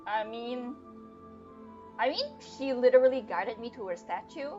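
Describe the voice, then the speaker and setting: A woman's voice: on the high side, moderate pace, dark tone. A young woman giving casual, joking game commentary as a streamer, on a slightly dull lo-fi microphone. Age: young adult. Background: game music.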